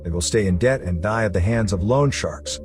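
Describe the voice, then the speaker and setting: A man's voice: on the low side, moderate pace. A man reading a scripted story recap as a narrator. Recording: music running behind the voice. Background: background music.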